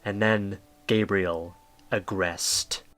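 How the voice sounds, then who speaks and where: A man's voice: fairly low pitch, fairly even intonation, drawn-out words, fairly bright tone. A man reading scripted story narration as the narrator of an audio drama. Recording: faint music bed.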